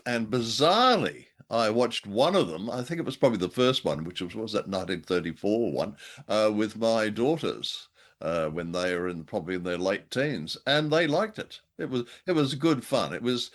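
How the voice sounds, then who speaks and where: A mature man with a slightly low voice chats as a co-host. His pitch swings widely and his phrasing comes in animated, halting bursts. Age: middle-aged or older. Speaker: podcast co-host. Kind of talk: casual discussion of old-time radio.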